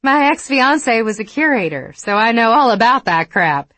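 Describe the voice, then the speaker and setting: A woman's voice: on the high side, normal speed, no echo, pitched above the performer's own voice. Woman voicing a gushing, tipsy young Southern woman. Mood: excited, amused. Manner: gushing, excitable, teasing. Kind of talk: audiobook character dialogue.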